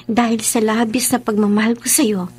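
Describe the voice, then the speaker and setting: Breathy, high-voiced young Filipina daughter. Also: female voice, level tone, normal speed, darkish tone. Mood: tender, pleading. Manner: emotive, wheedling. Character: young working-class daughter and sister, pleading, playful, whiny. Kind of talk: Filipino radio drama acting.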